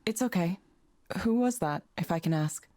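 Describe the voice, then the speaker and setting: Woman acting a low, mellow, reserved counsellor. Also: no echo, close to an everyday voice. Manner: calm, measured, understated. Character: reserved, soft-spoken woman working as counselling proxy. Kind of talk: visual novel voice acting.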